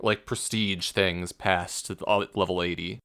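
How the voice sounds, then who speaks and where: A man's voice: deep voice, fairly even intonation, a little slow, gravelly, a bit bright, clean tone. A man co-hosting a casual gaming chat, explaining things in a loose, hesitant way with frequent asides. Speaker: podcast co-host.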